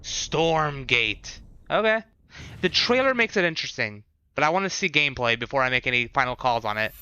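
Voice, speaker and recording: A man's voice: medium pitch, very animated, fairly quick, pushed and bright, smooth, highs cut off. A man live streaming casual reactions and commentary, rambling as he thinks aloud, with music behind him. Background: game showcase trailer audio and music.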